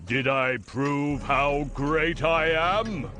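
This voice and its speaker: A man's voice: a little high, fairly even intonation, drawn-out words, bright, forceful tone, soft background music, full character voice. A man voicing a grumpy, boastful ogre in a children's cartoon fairy tale, with an imperious manner. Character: grumpy, boastful ogre who practises shapeshifting magic.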